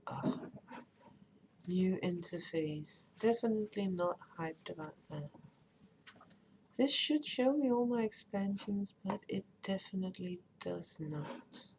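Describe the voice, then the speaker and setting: A woman's voice: lowish voice, animated, takes it slowly, darkish tone, low-quality recording. A woman streamer with Danish as her first language, chatting casually and rambling while she plays and reads chat aloud. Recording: faint background noise.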